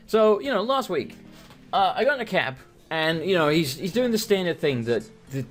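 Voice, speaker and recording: A British man talking over his game with a lively, swooping voice that jumps up and down as he jokes. He swears freely and drifts into long, rambling anecdotes, and a faint bed of game music sits underneath him. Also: medium pitch. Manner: profane, joking, animated storytelling. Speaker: gaming video host. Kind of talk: let's play commentary, rambling anecdotes.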